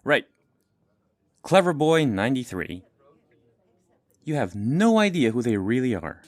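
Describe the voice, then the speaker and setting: Casting: casual teenage guy, mid-pitched, expressive, gently teasing, dry audio drama sound. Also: measured pace, no echo. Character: laid-back, teasing high-school boy, friendly peacemaker. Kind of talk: scripted audio drama acting.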